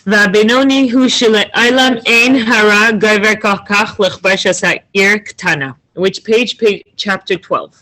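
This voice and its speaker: A woman's voice: medium pitch, steady tone, rapid-fire, few pauses, fairly bright tone, poor audio. Woman teaching a scripture class online. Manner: reads a Hebrew line, then translates and explains it; conversational, with restarts and checks of "okay?". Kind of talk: online text class, reading and explaining. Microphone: video call.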